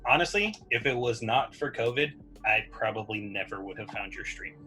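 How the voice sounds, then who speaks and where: A man speaking as a guest in a casual video chat, calm and unhurried. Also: fairly low pitch, soft background music.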